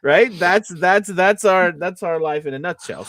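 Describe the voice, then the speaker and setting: A man's voice: sits high, fairly bright tone, no room sound. Rambling man chatting on a dry mic. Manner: rambling, halting, self-correcting. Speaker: voice actor co-hosting a livestream. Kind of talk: casual livestream chat.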